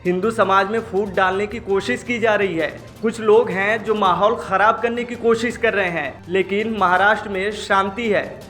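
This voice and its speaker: A man's voice: high-pitched, steady tone, moderate pace, few pauses, bright, forceful tone. A man reading scripted news narration as a news presenter. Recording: music behind the voice. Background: background music.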